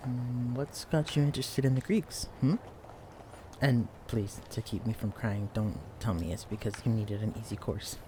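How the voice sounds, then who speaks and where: A young man playing a shy, clumsy, bookish librarian in an intimate audio roleplay, speaking in a hesitant, stammering, hushed way. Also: lowish voice, moderate pace, a little hiss or hum, lightly acted. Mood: playful, teasing. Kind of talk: intimate audio roleplay acting addressed to the listener. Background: quiet library ambience.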